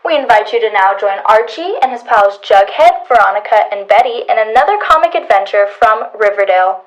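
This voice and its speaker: A woman's voice: on the high side, lively intonation, fairly quick, soft background music, low-quality recording. A young woman reading scripted narration as the announcer of an old-time radio show. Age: teenager or young adult.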